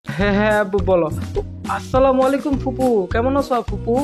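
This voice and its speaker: A man's voice: very high-pitched, expressive, fairly quick, over music. A man playing a flustered young son in a cartoon.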